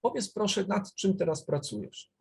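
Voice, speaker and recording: Husky-voiced male interviewer. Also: high-pitched. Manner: measured, polite, pauses between phrases. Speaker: festival TV interviewer. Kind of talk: formal interview questions.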